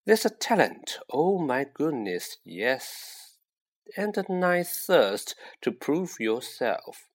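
A man voicing a slow, musing old talking hat. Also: medium pitch, very animated, low-quality recording. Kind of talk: audiobook character voice.

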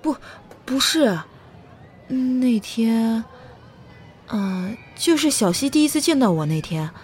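Slow-spoken gentle young woman, played by an actress. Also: on the high side, slight background noise. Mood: flustered. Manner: soft coaxing, hesitant. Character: gentle, flustered young woman, doting on a child. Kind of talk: Chinese audio drama acting.

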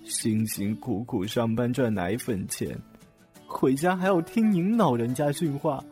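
An actor playing a good-natured, lovestruck young boyfriend with a mock-dramatic manner in a Mandarin radio drama. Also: male voice, mid-range voice, unhurried, mellow, faint music behind, lightly acted. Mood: mock-suffering. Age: young adult.

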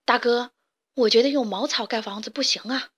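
A woman voicing the middle of three little pigs, a stubborn, eager piglet who talks quickly. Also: a little high, natural voice.

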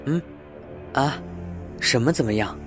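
A man's voice: mid-range voice, measured pace, natural voice. A man playing a courteous, hesitant and easily puzzled building manager who also narrates a sci-fi radio drama. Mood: puzzled, flustered. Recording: roomy sound with music underneath.

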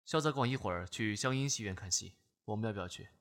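A man's voice: lowish voice, quick pace, fairly bright tone. A man playing a deferential secret-service subordinate in a Chinese audio drama, reporting respectfully. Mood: dutiful. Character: dutiful, deferential male intelligence subordinate. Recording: music clearly behind the voice.

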